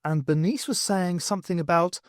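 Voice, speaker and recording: A man reading a science fiction novel aloud as scripted, expressive audiobook narration. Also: high-pitched, moderate pace, no echo, clean studio sound. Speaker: audiobook reader.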